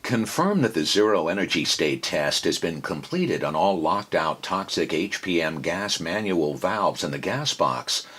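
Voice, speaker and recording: A man's voice: deep voice, big pitch swings, unhurried, hardly any pauses, fairly bright tone, breathy edge. A man working as a voiceover artist, reading scripted announcements and instructions.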